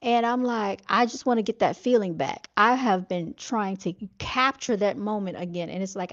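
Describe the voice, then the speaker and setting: A woman with a Southern drawl chats loosely to her audience in a middle-pitched voice, stopping often to call out "y'all" and fill her pauses with "like" and "um." The sound is a bit dull and lo-fi, as if from a modest home recording. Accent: Southern American. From North Carolina, United States. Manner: chatty, addresses viewers as "y'all", many fillers. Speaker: vlogger reading viewer comments. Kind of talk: casual chat to viewers.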